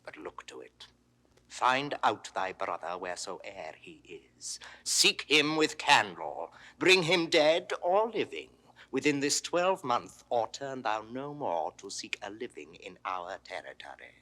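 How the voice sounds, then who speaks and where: An imperious usurping ruler with a British accent, menacing and widely varied in pitch. His voice is hard-edged and swings from hushed threat to thundering orders, in the classical manner of a 1960s recorded play. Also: big pitch swings, measured pace, full character voice. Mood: angry, commanding. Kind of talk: classical Shakespearean stage acting. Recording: 1960s studio audio drama recording.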